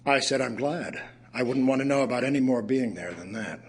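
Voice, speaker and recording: An actor playing a weary, wry male writer, reciting poems and prose as a measured, literary storyteller in an audio play. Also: medium pitch, slightly rough. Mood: wry, subdued.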